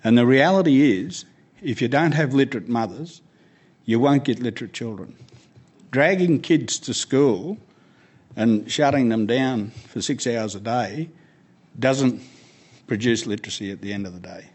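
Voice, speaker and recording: A man's voice: medium pitch, measured pace, a little mellow, husky. A man speaking as guest speaker, giving earnest, emphatic answers in a panel Q&A and often repeating words as he starts.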